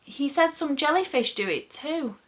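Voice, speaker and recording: A woman voicing a curious, excitable young boy in a children's storybook, eager and wide-eyed. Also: high voice, moderate pace, pitched above the performer's own voice. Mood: excited, curious. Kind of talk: children's storybook character reading.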